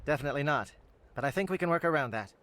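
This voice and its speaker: A man's voice: fairly high pitch, lively intonation, rapid-fire, a bit bright. An actor playing a pragmatic male alien military captain in a video game, speaking in a precise, formal manner. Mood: resolute.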